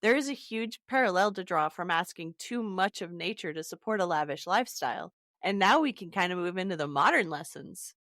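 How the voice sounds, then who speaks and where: A woman's voice: on the low side, lively intonation, fairly quick, hardly any pauses, a bit bright, dry recording, studio quality. A woman hosting a podcast, chatting casually about a story and steering the discussion.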